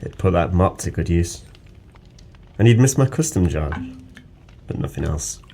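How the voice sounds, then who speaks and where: A very low voice belongs to a guilt-ridden, hard-drinking ex-sailor. He speaks in bleak, theatrical, poetic phrases, as in a radio play. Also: expressive, measured pace, vocal fry, dark tone, exaggerated voice. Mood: bitter, resigned. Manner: brooding, poetic, theatrical monologue. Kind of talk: audio drama acting.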